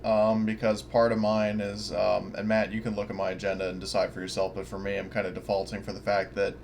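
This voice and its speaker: A man's voice: on the low side, completely flat delivery, a little fast, vocal fry, a little mellow. A man chatting casually as a player in a tabletop role-playing session, tentative and often checking details over a voice call. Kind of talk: casual game-session chat. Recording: noisy voice-call audio.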